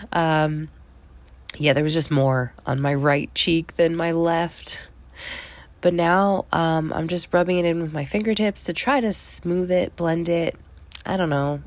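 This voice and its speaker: A woman's voice: deep voice, dry recording. A young woman with a cold, sounding nasal, chatting casually through a voice-over tutorial and checking in with "okay?". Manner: relaxed, chatty, says "okay?" often. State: sick, nasal. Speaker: beauty vlogger. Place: small bedroom.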